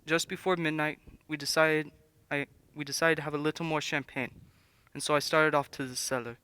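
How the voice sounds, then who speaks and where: Guy playing polite french duke giving testimony, radio play, clear bright voice, dry sound. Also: fairly high pitch, little pitch movement, brisk, dry recording. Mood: earnest, recounting.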